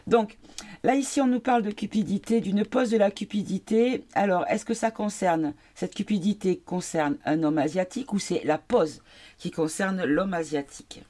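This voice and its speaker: A woman giving a casual card reading, tired and congested from a cold, halting as she searches for her words. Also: mid-range voice, warm tone. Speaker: card reader.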